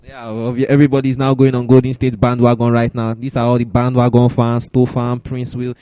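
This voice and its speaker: A man's voice: mid-range voice, monotone, fairly quick, mellow, smooth, dry recording. A man hosting a sports podcast, talking through news and results as commentary. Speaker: podcast host.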